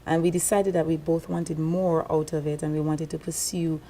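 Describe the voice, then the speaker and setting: A woman's voice: deep voice, little pitch movement, moderate pace, warm tone, slight background noise. A woman from Dominica, a singer in a duo, answering interview questions in a composed and articulate way. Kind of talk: interview guest.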